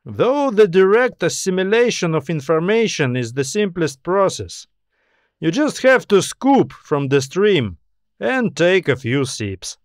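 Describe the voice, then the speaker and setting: Man voicing high-pitched, courteous old scientist. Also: sing-song, measured pace, no room sound, clean studio sound. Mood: wry, explanatory. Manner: thoughtful, philosophizing, gently explanatory. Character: old alien scientist, calm, courteous, wryly amused. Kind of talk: audiobook character dialogue.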